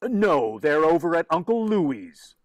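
A woman's voice: deep voice, very animated, slow, dry recording, dull audio, exaggerated voice. A woman playing an exasperated, easily flustered father in an old-time radio comedy. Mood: grumbling.

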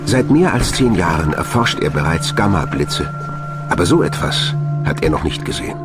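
A man narrates a documentary in a very deep voice with lively, swinging intonation. His scripted delivery is measured and dramatic, and music plays clearly behind him. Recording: voice over a music bed.

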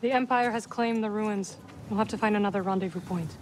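A woman's voice: mid-range voice, a little fast, a little hiss or hum, natural voice. An actress playing a self-possessed young woman adventurer in a video game, terse, wry and direct. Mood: concerned. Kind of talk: video game cutscene acting.

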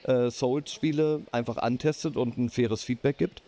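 A man speaking as a guest on a live games panel, chatting casually with lively intonation. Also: medium pitch, normal speed.

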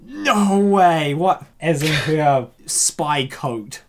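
A woman host with a mid-low speaking voice that swings widely in pitch. She comments casually and comedically, theatrical and excitable. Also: extremely slow, heavy background noise. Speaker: host reacting to a web comic.

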